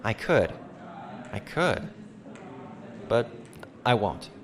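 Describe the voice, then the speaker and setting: A man's voice: lowish voice, very animated, drawn-out words, exaggerated voice. A man playing a dutiful, resigned starship captain with an understated manner in an audio drama. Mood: resigned, calm.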